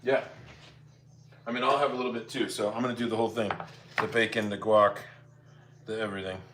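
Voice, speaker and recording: A man's voice: on the low side, flat delivery, a little fast, a bit bright. Man chatting casually over noisy kitchen clatter. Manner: informal, chatty, thinking aloud. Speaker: host of a live cooking stream. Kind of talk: casual chat with viewers while cooking. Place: home kitchen. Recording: clear background noise, clattering dishes and cutlery.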